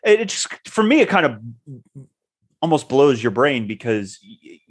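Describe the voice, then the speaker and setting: A man's voice: mid-range voice, very animated, moderate pace. Rambling man in casual chat. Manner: rambling, hesitant, repeats words. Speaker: co-host. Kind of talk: casual chat about writing.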